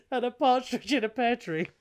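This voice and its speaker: A man with a high-pitched voice hosts a gaming podcast in a loose, informal way. He explains the rules of a game as casual chat among mates. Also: unhurried, clean tone.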